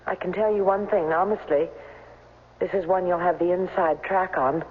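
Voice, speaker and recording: An actress plays a brisk young secretary in a vintage radio drama, tart and defensive with an anxious streak. The sound is dull and reverberant, with faint noise behind her. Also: on the low side, steady tone, natural voice. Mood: urgent, polite. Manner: clipped, tart, businesslike. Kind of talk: 1970s radio drama acting.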